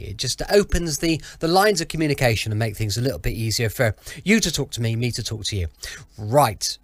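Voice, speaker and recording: A British man hosts a podcast in a chatty, conversational style. His pitch is slightly high and moves around a lot, and the sound is close and dry. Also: a bit bright, no echo. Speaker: podcast host. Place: dry close-miked home recording.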